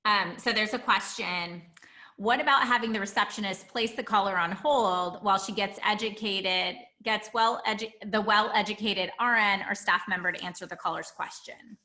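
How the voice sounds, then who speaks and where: Bright-voiced woman host, echoey sound. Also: medium pitch, normal speed. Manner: informal, thinks aloud, checks in with tag questions. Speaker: host, practice consultant. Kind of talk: conversational business interview. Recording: roomy, echoing voice pickup.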